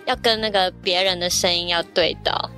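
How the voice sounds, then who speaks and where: A woman's voice: fairly low pitch, steady tone, a little fast, sharp tone, faint music behind. A woman chatting casually and informally, often giggling, as a voice actor guest. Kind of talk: casual chat.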